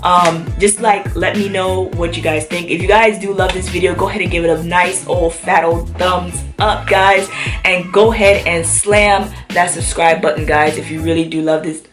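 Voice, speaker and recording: A woman's voice: on the low side, fairly even intonation, normal speed, over music. A woman vlogger talking casually to camera, chatty with many fillers, sick with a cough.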